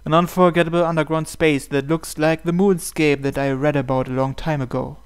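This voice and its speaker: Higher-pitched man narrating a story. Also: level tone, a little fast, clear, resonant tone. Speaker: game player reading aloud. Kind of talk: read-aloud first-person story narration. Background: soft game music.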